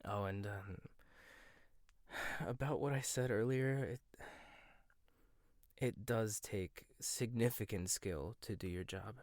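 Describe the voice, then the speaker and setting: A young man voicing a proud, teasing male chef in a boyfriend roleplay, talking softly and stammering when flustered. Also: fairly low pitch, takes it slowly, pauses often, no room sound, studio quality. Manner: soft-spoken, teasing, gets flustered and stammers. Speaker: ASMR roleplay voice actor. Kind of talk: boyfriend ASMR roleplay, one-sided dialogue.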